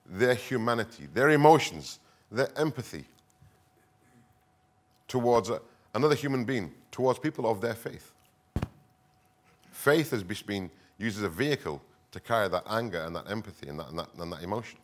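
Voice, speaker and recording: A middle-aged man from the north of England, speaking earnestly at a conference in short, deliberate phrases with emphatic repetition. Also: fairly low pitch. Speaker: conference speaker, counter-extremism expert. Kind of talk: conference presentation, semi-improvised public speaking.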